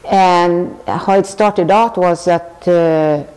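An older woman from Sweden with a Swedish accent, speaking thoughtfully with halting restarts as she answers questions in an interview. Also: on the low side, steady tone, darkish tone, clean tone, big-room sound, low-quality recording. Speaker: tapestry artist. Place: large weaving studio with looms.

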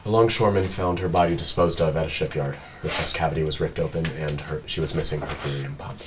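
A man acting a calm, precise android detective in a scripted drama read, speaking in a measured, formal way. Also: rumbling low, background noise. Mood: businesslike. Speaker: actor reading an android detective at a table read.

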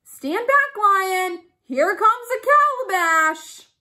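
A sly and cheerful storybook turtle, as a woman storyteller puts her on, calls out eagerly. The voice is high, with words stretched out slowly as she gives instructions. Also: very animated, pushed and bright, exaggerated voice. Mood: calling out, taunting.

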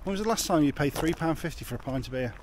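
A middle-aged English man rambles cheerfully into a camera held at arm's length, his voice sitting a little higher than most men's. He is outdoors in town streets and a garden, and a faint hum of traffic and surroundings runs behind him. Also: expressive, very fast. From England. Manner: chatty, jokey, rambling with restarts. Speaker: vlogger. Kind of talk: casual travel vlog chat.

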